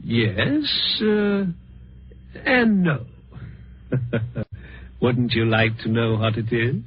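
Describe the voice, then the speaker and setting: An actor playing a sly, mock-courteous older gentleman plotting murder, in the style of old-time radio suspense. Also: mid-range voice, lively intonation, extremely slow, breathy edge, no room sound, full character voice. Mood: smug, teasing. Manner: mock-courteous, chuckling, savouring his words. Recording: muffled, noisy vintage radio recording.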